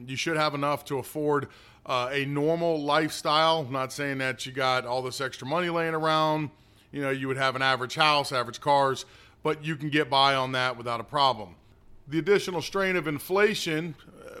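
Bright, higher-pitched man giving political commentary. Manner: opinionated, plain-spoken. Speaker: political talk show host. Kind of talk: conservative political commentary, conversational monologue.

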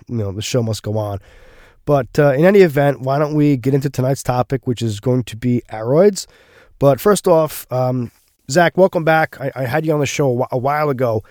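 Man with a slightly gravelly voice. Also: mid-range voice, darkish tone, dry recording, studio quality. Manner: frequent fillers and restarts, friendly. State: gravelly voice from feeling unwell. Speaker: podcast host. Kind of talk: casual podcast chat.

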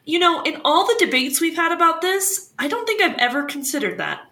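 A woman's voice: very high-pitched, very animated, fairly quick, pushed and bright, exaggerated voice. A woman playing a poised livestream talk-show host in a fiction podcast, with a polished presenter's manner. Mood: curious. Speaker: host taking calls.

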